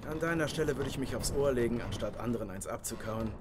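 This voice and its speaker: A man's voice: medium pitch, fast, noisy background, natural voice. A man voicing a chatty, wry male fellow prisoner who talks in an amused, easygoing way. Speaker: fellow captive. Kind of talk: German game dub.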